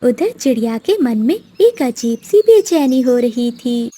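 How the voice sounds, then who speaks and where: A woman tells a scripted story for children. Her voice is high and mellow, suited to narration of a simple tale. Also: big pitch swings, a little slow, husky.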